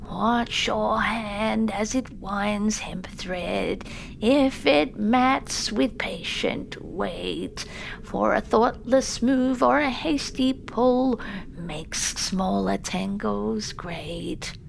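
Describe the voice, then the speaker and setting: A woman voicing a grave, gentle old grandmother with a quavery, hushed voice in an audiobook. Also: medium pitch, gravelly, a little hiss or hum, full character voice, rough recording. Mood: soft singsong. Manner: slow, grave speech. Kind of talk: audiobook character voice.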